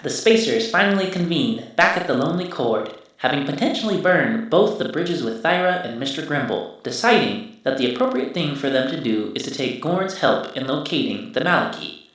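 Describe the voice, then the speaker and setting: A man's voice: a little high, a bit bright. A man voicing a machine memory system that formally recites past events in a tabletop space game. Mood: formal, grave. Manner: formal, measured recap narration. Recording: distant, heavily echoing processed voice.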